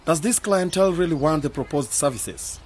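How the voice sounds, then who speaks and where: A man's voice: a little high, a little fast, slightly rough. A man acting in a radio drama as a skeptical, pragmatic young planner, challenging and matter-of-fact.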